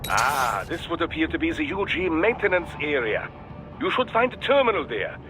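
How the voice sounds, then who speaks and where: A man's voice: fairly high pitch, very animated, normal speed, over music. An actor voicing a precise, quirky male technical expert in a video game, formal and explanatory. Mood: helpful, pedantic. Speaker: technical adviser. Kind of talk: English video game voice acting.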